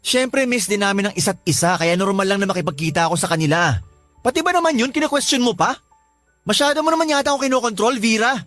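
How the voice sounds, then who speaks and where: A man's voice: sits high, lively intonation, fast, a bit bright, soft background music. A man playing a defensive, irritable live-in partner in a Filipino radio drama, whining and dismissive. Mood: indignant. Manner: whiny, dismissive. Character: defensive, irritable young live-in partner, fond of his buddies.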